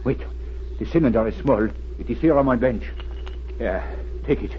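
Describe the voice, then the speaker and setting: An actor playing a gullible, proud old chemist who speaks haltingly and solemnly and is easily agitated, in old-time radio style. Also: male voice, medium pitch, slight background noise, natural voice. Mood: solemn, resigned. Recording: dull old radio recording.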